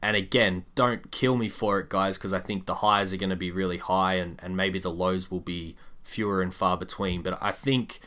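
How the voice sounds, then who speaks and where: A man co-hosting a sports podcast, talking through basketball in a casual but measured, explanatory way. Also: deep voice, steady tone, fairly bright tone.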